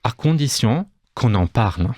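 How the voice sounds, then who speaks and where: A man's voice: mid-range voice, lively intonation, unhurried, a little mellow, no room sound, clean studio sound. A man with a Romanian accent, a guest in a radio interview, speaking hesitantly with many fillers and restarts. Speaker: guest, cultural institute deputy director. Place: radio studio.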